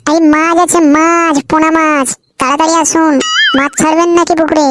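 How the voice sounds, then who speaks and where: A woman's voice: high voice, level tone, moderate pace, noisy background, lightly acted. A woman voicing a cheeky, brash young village nephew who chats and trades in a comic cartoon. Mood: salesman-like. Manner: chatty, brash. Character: cheeky, foul-mouthed young village nephew, eager small-time trader.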